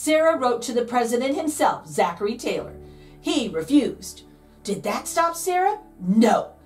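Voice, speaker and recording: A woman reads a children's picture book with playful, theatrical emphasis. Her voice sits fairly high and swings widely in pitch, stretching key words for drama. She sounds a little away from the microphone. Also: very animated, measured pace, a little mellow, recorded from a distance, faint music behind. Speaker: read-aloud host, storyteller. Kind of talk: expressive children's picture book read-aloud.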